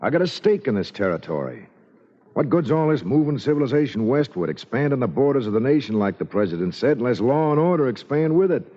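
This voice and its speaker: An actor playing an upright, plain-spoken, stubbornly principled Old West shotgun guard in a 1940s radio drama. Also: male voice, mid-range voice, big pitch swings, quick pace, mellow. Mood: earnest. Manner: plain-spoken, earnest, folksy. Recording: muffled old radio recording.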